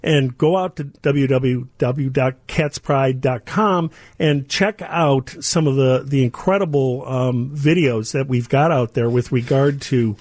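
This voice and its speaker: A man's voice: medium pitch, measured pace, hardly any pauses, darkish tone, dry recording, studio quality. A man speaking as a company guest in a conversational promotional interview.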